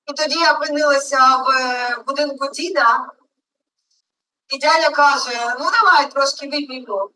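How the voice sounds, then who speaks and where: A woman's voice: high voice, fairly even intonation, moderate pace, pushed and bright, slight background noise. A woman narrating a personal family story in a film. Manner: storytelling, intimate. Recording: film audio played from far off.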